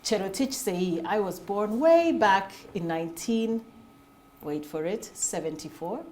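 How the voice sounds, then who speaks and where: A woman's voice: mid-range voice, animated, a little slow, a little mellow, slight background noise. Articulate Kenyan woman in her forties. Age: 40s. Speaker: Senate aspirant, guest. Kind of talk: interview answers.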